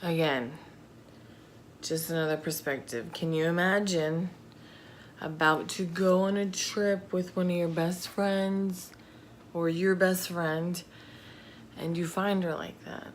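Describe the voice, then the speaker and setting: A woman telling true crime stories casually, in a halting, informal, chatty manner. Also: fairly low pitch, steady tone. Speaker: host of a true crime video channel. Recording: faint background noise.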